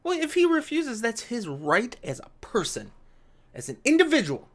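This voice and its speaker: Mocking youtuber type reading stuff out and commenting, medium pitched voice, very informal. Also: wildly swooping pitch, moderate pace. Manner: sarcastic, mocking. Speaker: video commentator. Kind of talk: reading an article aloud with reaction commentary.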